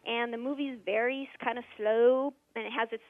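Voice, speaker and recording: A woman's voice: on the high side, steady tone, a little fast, dry recording. A woman calling in by phone, giving a chatty, easygoing movie plot summary. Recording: tinny phone line.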